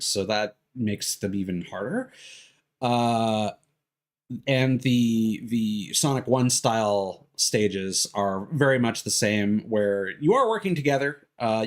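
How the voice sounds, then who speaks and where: A man giving casual, rambling gameplay commentary as a streamer, hesitant and drifting into tangents. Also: fairly low pitch. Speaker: video game streamer. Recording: music behind the voice.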